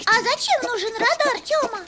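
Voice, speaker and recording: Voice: very high voice, moderate pace, heavy background noise. A performer voicing a tiny, eager girl ladybug, childlike and bubbly, helping with a drawing lesson in a children's cartoon. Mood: eager, curious. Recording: loud children's music behind.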